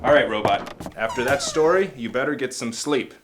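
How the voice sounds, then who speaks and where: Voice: mid-range voice, animated, moderate pace, close to an everyday voice. An actor playing a stern parental ghost storyteller, giving bossy bedtime orders. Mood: firm. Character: stern, fatherly ghost storyteller sending robot to bed. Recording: loud noise and clatter.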